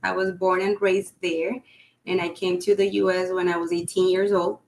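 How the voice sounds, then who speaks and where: A Colombian-accented woman tells her story casually as a podcast guest. She leans on filler pauses as she talks. Her voice rings in a roomy, echoey space. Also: lowish voice, little pitch movement, darkish tone. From Cali, Colombia.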